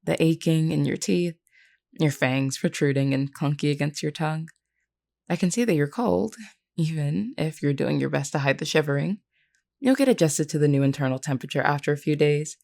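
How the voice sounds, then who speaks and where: A woman performs a vampire queen in an intimate audio roleplay. Her voice sits low for a woman and has a clear creak to it. She talks to one listener in measured, formal words, gentle and candid. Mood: calm, reassuring. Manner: measured, reassuring, formally worded.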